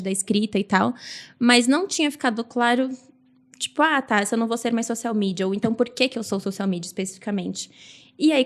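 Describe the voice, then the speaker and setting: A woman with a Brazilian Portuguese accent chats as a guest on a podcast. Her voice sits at a middle pitch. She speaks informally, in the loose, chatty way of friends talking. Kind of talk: casual chat.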